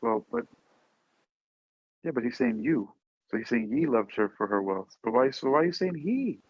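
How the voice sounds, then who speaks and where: A man's voice: medium pitch, sing-song, normal speed, vocal fry, breathy edge, no room sound. A man chatting casually as a podcast host about poetry, questioning things in a playful, mock-exasperated manner. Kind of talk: casual chat discussing and reading poetry. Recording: dull lo-fi sound.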